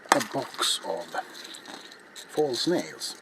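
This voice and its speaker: A man's voice: mid-range voice, sing-song, very slow. A middle-aged man hosting a casual ASMR craft tutorial, talking in a rambling, offhand, self-deprecating way as he works. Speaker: ASMR craft video host. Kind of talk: casual hands-on ASMR tutorial while working. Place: small cluttered indoor hobby workshop. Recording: noisy home recording, clear background noise.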